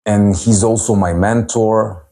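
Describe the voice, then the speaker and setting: A man in his thirties from Serbia, telling his personal story as a holistic health coach at a virtual event in an earnest, unhurried way. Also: fairly low pitch, steady tone, dark tone. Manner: earnest, unhurried, with frequent pauses and fillers.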